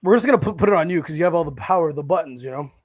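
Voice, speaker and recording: A man hosting a casual podcast over a video call, excitable, laughing often and swearing casually. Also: fairly high pitch, racing. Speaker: podcast host. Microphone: home video call mic.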